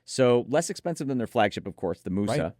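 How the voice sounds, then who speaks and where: A man's voice: medium pitch, animated, a little fast, darkish tone, no room sound, clean studio sound. A man co-hosting a product review, talking conversationally with enthusiastic, firm opinions. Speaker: headphone show co-host reviewer.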